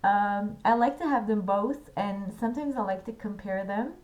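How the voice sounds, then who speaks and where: A woman's voice: mid-range voice, moderate pace, darkish tone. A woman casually explaining a poem she loves, hesitant and earnest, with frequent filler sounds and restarts. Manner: earnest, personal enthusiasm. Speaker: poetry video host.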